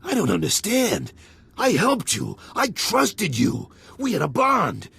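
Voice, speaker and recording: An actor playing a defiant, betrayed old gang leader in a video game, rough and raspy, talking tough. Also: male voice, high voice, wildly swooping pitch, slow pace, sharp tone, heavily acted. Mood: hurt, angry. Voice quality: raspy, gravelly. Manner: rough, challenging tough talk. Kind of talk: dramatic video game acting.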